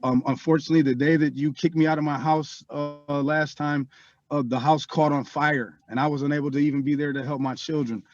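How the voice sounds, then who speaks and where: A man's voice: a little high, fairly even intonation, fairly quick, a little mellow, muffled recording. Earnest man pleading his case to a judge. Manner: earnest, pleading, restarts often. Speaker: father representing himself. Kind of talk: addressing the court. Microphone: video call.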